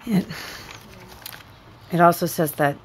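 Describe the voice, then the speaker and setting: A woman playing a measured, persistent interviewer in a naturalistic audio drama, deliberate and probing. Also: on the low side, slight background noise. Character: measured, persistent interviewer reading a case report.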